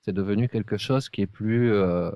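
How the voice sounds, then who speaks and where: A man with a deep voice chats as a podcast co-host. He speaks colloquially and haltingly, close and dry on the recording. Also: mellow, clean tone, no echo. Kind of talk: casual chat.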